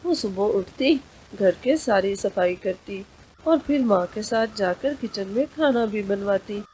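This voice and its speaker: A woman's voice: medium pitch, animated, measured pace, warm tone, slight background noise, rough recording. A woman narrating a scripted children's story.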